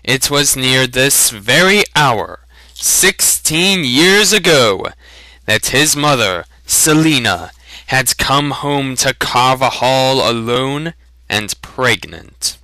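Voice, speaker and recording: Male storyteller, bright and smooth, deliberate dramatic delivery, very dry sound. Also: mid-range voice, expressive, dry recording, low-quality recording. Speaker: reader of a fantasy novel. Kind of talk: dramatic audiobook reading with joking asides.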